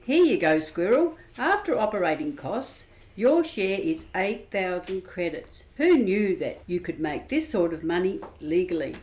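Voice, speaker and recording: A woman's voice: fairly low pitch, very animated, unhurried, darkish tone, slight background noise. A woman playing a feisty, blunt businesswoman in an amateur radio play. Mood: brash.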